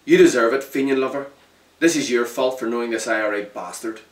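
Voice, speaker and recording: A man voicing a threatening gunman who shouts abuse at his victim. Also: medium pitch, fairly quick, lightly acted. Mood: menacing. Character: menacing male loyalist gunman. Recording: roomy, distant mic.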